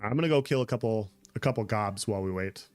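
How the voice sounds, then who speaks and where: A man's voice: lowish voice, quick pace, a little mellow, no room sound, soft background music. A man chatting casually on a gameplay livestream, answering viewer comments as he plays. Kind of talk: casual gameplay stream chat.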